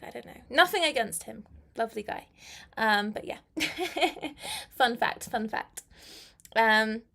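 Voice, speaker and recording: A woman's voice: on the high side, fairly bright tone. A young woman, a teacher chatting casually on a live stream, answering viewers' comments and laughing often. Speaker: English teacher.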